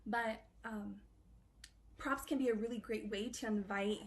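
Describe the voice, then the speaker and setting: A woman's voice: fairly high pitch, steady tone, unhurried. A woman cosplayer chatting casually in an interview, loose and full of fillers.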